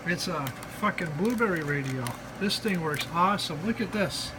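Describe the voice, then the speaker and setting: A man's voice: sits high, normal speed. A man giving a casual, joking product demonstration, talking in folksy slang and repeating himself with mock earnestness. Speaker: hobbyist video presenter. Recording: noisy recording with steady background hum.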